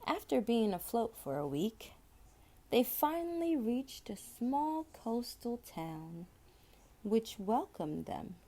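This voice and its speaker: Woman reading a kids book out loud, slow n soft-edged mellow voice, stretches words for effect. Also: fairly high pitch, very animated. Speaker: storybook reader. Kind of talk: read-aloud children's story narration.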